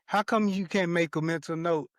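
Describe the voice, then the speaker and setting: A man argues informally in a group chat, his voice a little on the high side. He interjects often to dispute points. The sound is dry. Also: steady tone, normal speed, dry recording. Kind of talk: casual relationship debate chat.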